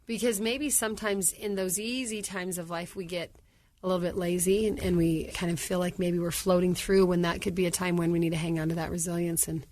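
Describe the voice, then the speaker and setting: A woman's voice: on the low side, steady tone, brisk, a little mellow, a little hiss or hum. A woman co-hosting a podcast who talks casually and thinks aloud while she responds to a guest. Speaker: podcast co-host. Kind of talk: casual interview talk.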